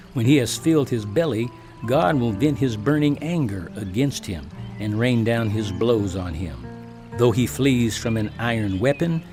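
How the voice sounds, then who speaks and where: A male actor as a severe biblical accuser, indignant and declamatory. His mid-pitched voice delivers grim judgment in the manner of a scripture drama, with music clearly playing behind him. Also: close to an everyday voice. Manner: declamatory, forceful rhetoric. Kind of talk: dramatized audio Bible acting.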